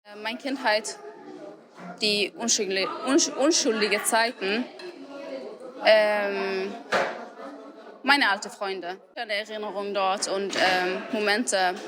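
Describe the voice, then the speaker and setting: A woman's voice: mid-range voice, steady tone, slow, pauses often. Hesitant woman from Lebanon amid a crowd. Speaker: interviewee.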